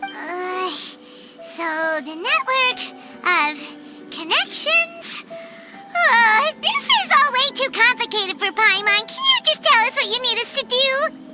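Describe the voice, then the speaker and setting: A woman voicing a tiny, childlike, confused fairy companion in a video game, with a squeaky voice. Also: big pitch swings, slow pace, loud music behind the voice, exaggerated voice. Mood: baffled. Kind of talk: scripted video game dialogue.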